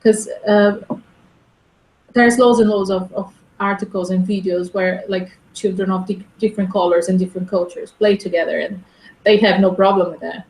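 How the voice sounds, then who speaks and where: A woman speaks English in a relaxed, thoughtful way, often restarting and repeating words as she works out her thoughts. Her voice sits at a middle pitch. The recording is roomy and echoey. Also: normal speed. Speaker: interviewee. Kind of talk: casual conversation. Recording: echoey room.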